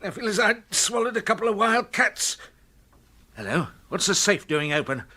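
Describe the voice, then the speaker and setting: A middle-aged, crotchety businessman in a radio comedy, forever complaining about his bad stomach. His voice is fairly high and sharp, with an irritable edge. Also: animated, moderate pace, full character voice. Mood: pained, cross. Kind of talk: radio comedy drama acting.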